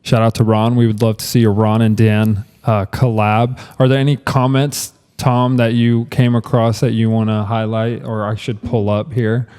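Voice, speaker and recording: Smooth, mellow young male co-host. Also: on the low side, normal speed, few pauses, long reverb tail. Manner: casual, relaxed interviewer with fillers. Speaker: podcast co-host. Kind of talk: casual podcast chat.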